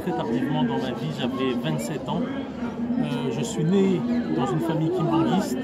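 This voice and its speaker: A man's voice: fairly high pitch, wildly swooping pitch, normal speed. A young man from France, giving earnest, hesitant spontaneous answers in an interview. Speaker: interviewed festival attendee. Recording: crowd and music behind the voice. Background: music and crowd at a public celebration.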